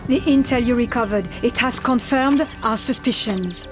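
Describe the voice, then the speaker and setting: A woman's voice: on the high side, moderate pace, music in the background, lightly acted. An actress playing a serious female resistance leader who briefs an agent earnestly. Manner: earnest, urgent.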